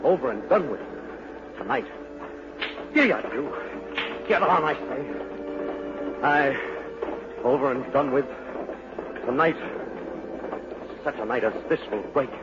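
A man's voice: medium pitch, expressive, unhurried, long pauses, natural voice. Tense, obsessed man acted out, music behind. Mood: feverish urgency. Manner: urgent, repetitive muttering. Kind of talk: 1940s radio drama acting. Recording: muffled old radio recording.

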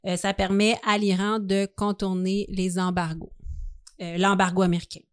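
A woman's voice: medium pitch, little pitch movement, moderate pace, smooth, no echo. A woman with a Quebec French accent co-hosting a podcast, telling a story from notes in an informal, conversational way. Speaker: podcast co-host.

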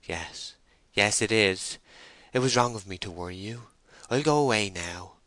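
A man's voice: lowish voice, a little slow, bright, forceful tone, no room sound. A man voicing a timid, lovesick young woman in a storybook reading, tremulous, hesitant and pleading. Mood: meek, downcast.

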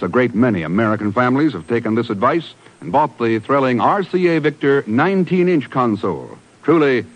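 A man's voice: mid-range voice, muffled recording. A man doing scripted 1950s radio announcing and advertising. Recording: vintage radio broadcast with music. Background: music.

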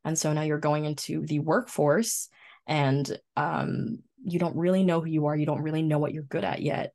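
Female, twenties, distinctly low pitch, reflective and informal podcast co-host delivery. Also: steady tone, moderate pace, mellow. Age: 20s. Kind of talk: casual chat.

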